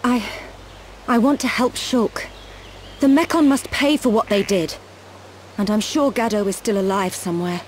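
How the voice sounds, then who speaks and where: A woman's voice: medium pitch, moderate pace, darkish tone, slight background noise, close to an everyday voice. A woman playing a determined, fiercely loyal medic in a video game cutscene.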